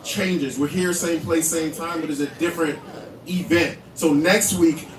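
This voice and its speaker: A man hosting a live poetry slam, loud and casual as he pumps up the crowd at an indoor venue. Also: on the high side, fairly even intonation, measured pace. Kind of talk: live event emceeing. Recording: roomy, distant live venue sound with noise.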